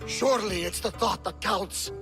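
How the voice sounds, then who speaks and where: An actor playing an excitable, bumbling but good-hearted Greek companion in a video game. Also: male voice, high voice, normal speed, music in the background, close to an everyday voice. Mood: relieved. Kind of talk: dramatic video game cutscene acting.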